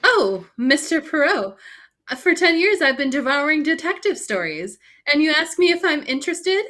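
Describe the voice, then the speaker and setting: A woman's voice: high-pitched, big pitch swings, normal speed, bright, forceful tone, pitched above the performer's own voice, full character voice. A woman playing an eager, plucky young female secretary in a live radio mystery reading. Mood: thrilled. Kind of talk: live old-time radio drama reading.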